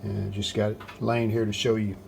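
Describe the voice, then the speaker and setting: A man casually narrating a hands-on how-to as he works, plain-spoken, unhurried and modest. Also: on the low side, creaky. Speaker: restoration hobbyist and video host. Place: home basement workshop. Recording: steady background noise, handling rustle.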